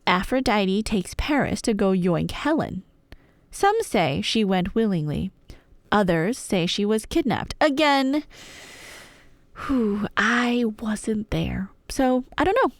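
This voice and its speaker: A woman telling a scripted myth as ASMR roleplay, conversational and wry, with dramatic pauses and emphasis. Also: mid-range voice, lively intonation, no echo, clean studio sound.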